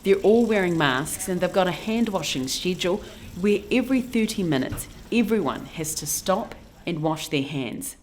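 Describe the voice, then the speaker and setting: Lower-pitched New Zealand woman reporting. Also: lively intonation, moderate pace, few pauses, a little mellow, slight background noise. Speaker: news reporter. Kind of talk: scripted news feature narration.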